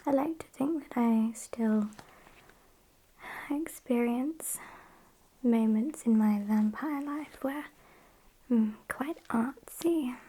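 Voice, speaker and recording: Casting: woman playing sassy British vampire captor for ASMR roleplay, slow breathy whisper, dryly menacing, soft mellow tone, some background hiss. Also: on the high side, poor audio. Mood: chatty, sassy. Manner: unhurried, chatty, deadpan. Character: sassy female vampire kidnapper, dryly menacing yet polite.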